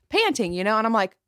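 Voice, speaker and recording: A woman's voice: fairly high pitch, sharp tone, dry recording, studio quality. A woman in her thirties telling a story in casual chat among friends, laughing often and swearing freely. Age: 30s.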